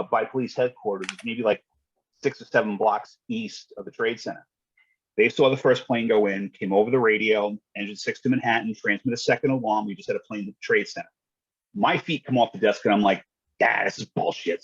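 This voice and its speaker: A man's voice: lowish voice, fairly even intonation, fairly quick, breathy edge, no room sound, highs cut off. A man telling work stories in a conversational podcast style, matter-of-fact, colloquial and salty. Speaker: guest, retired fire dispatcher.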